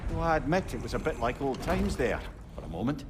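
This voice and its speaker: A man plays a wise, world-weary old-timer in a fantasy game. He is wordy and dryly avuncular, with lively rises and falls in pitch. Clear noise runs behind him. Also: fairly quick, natural voice. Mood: wry, nostalgic. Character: wry, world-weary old male companion, wise and chatty.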